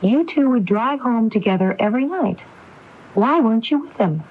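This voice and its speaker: A woman's voice: on the high side, expressive. Determined grieving woman grilling someone, 80s radio play, muffled am broadcast sound. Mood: pressing, suspicious. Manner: probing, deliberate, accusing questioning. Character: determined grieving woman confronting her brother over fraud. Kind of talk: 1980s radio drama acting.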